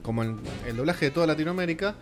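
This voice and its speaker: A man's voice: fairly high pitch, animated, racing, soft background music. A man with an Argentine Spanish accent, co-hosting a casual radio panel and explaining at length with many fillers. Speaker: radio show host.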